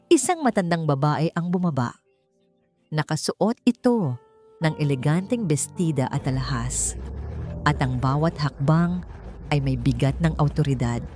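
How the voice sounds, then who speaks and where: A woman's voice: low-pitched, a little mellow. A woman narrating a scripted romantic drama as an audiobook storyteller, in a dramatic reading style. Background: music.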